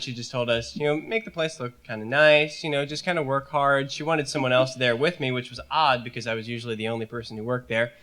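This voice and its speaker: A man's voice: mid-range voice, sharp tone, smooth. A young man, a student on a panel, telling a story in an earnest, hesitant way.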